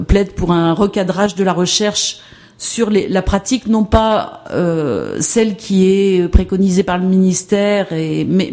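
A woman's voice: fairly low pitch, dark tone. A woman giving an academic presentation on education research, hesitant and full of fillers and restarts.

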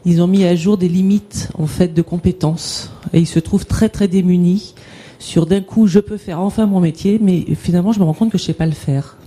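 A speaker at an academic discussion describing findings in a halting way, restarting phrases. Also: medium pitch, fairly even intonation, mellow.

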